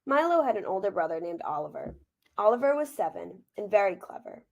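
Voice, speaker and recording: A woman reading a children's picture book aloud as author and teacher, gentle and expressive, with informal asides. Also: mid-range voice, fairly quick, gravelly, dull audio.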